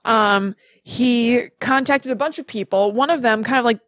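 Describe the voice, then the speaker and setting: A woman's voice: fairly high pitch, moderate pace, fairly bright tone, no room sound. Female podcast co-host, casual and talkative storyteller who amends herself mid-flow, very little room sound. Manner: chatty, self-correcting.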